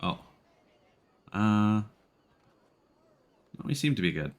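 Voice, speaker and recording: A man's voice: fairly low pitch, very animated, slow pace. A man doing casual live gameplay commentary as a streamer, hesitantly thinking aloud. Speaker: game streamer.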